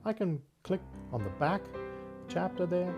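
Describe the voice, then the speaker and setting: A man hosting a software tutorial, explaining things step by step in a conversational way. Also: sits high, big pitch swings, measured pace, highs cut off, music in the background. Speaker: host of tech tutorial series.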